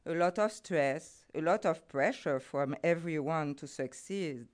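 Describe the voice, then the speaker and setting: Middle-aged Haitian-accented woman, non-native English, unhurried delivery, smooth clear tone. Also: sits low. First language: Haitian Creole or French. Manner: careful, deliberate, formal phrasing. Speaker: guest speaker, NGO program head. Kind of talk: prepared conference talk.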